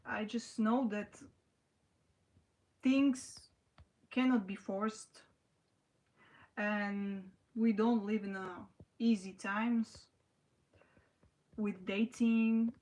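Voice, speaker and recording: A young woman from Slovakia, chatting casually on a livestream in halting sentences full of restarts and "you know". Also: mid-range voice, a little mellow, poor audio. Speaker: livestream host. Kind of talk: casual livestream chat answering viewers.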